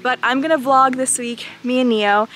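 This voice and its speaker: A woman's voice: sits high, fairly even intonation, moderate pace, a bit bright, soft background music, low-quality recording. A young woman from Bulgaria vlogging casually and with enthusiasm, talking into a phone outdoors on a village road. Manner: chatty, enthusiastic, laughs often. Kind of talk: casual travel vlog. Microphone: phone held by hand, then camera.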